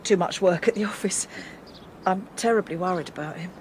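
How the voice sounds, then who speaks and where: A woman's voice: on the low side, darkish tone, a little hiss or hum, lightly acted. A woman playing a scheming, politely insinuating middle-aged mother-in-law in a radio drama. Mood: feigned concern. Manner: genteel, deliberate, insinuating. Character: scheming older mother-in-law, outwardly polite, quietly sly.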